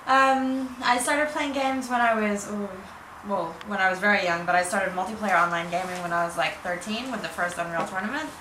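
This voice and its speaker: A woman's voice: mid-range voice, a little fast, bright, forceful tone. A young woman, a gamer chatting casually about herself. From New Zealand. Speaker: pro gamer. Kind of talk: casual interview. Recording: echoey room, noisy.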